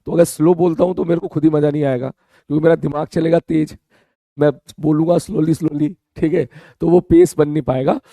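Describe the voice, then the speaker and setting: A man teaching an online class with a sore, hoarse throat, chatting casually with his students and often checking they follow. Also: fairly high pitch, flat delivery, a little fast, dark tone, dry recording, clean studio sound. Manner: chatty, repeats "theek hai" often, calls students beta.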